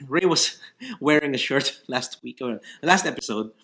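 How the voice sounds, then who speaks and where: A man's voice: medium pitch, lively intonation, measured pace, fairly bright tone. A man co-hosting a podcast in casual chat, sounding stuffy and congested, hesitant with many fillers and restarts. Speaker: podcast co-host.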